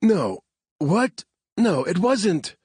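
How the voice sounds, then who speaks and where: A man's voice: high-pitched, sing-song, drawn-out words, a little mellow, husky, no room sound, voice pushed up, full character voice. A man voicing a frustrated young tech specialist in an audiobook. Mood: frustrated, urgent.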